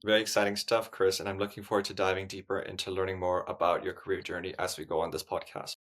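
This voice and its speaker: A man's voice: deep voice, level tone, fast, fairly bright tone. A young man from Hong Kong hosting an interview podcast, asking polite, scripted-sounding questions. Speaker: podcast host.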